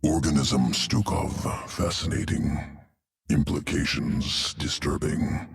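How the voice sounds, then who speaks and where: Gravelly, cavernous alien creature scientist. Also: male voice, flat delivery, slow pace, recorded from a distance, heavily acted. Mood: clinical, unsettled. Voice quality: very deep gravelly creaking voice. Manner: clipped, article-free clinical phrasing. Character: cold analytical alien swarm geneticist creature, male. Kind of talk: video game cutscene acting.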